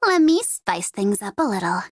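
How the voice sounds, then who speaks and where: A woman's voice: medium pitch, big pitch swings, moderate pace, no room sound, studio quality. A woman playing a cocky young mercenary in a video game, with a mocking, playful and sardonic manner. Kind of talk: video game character voice lines.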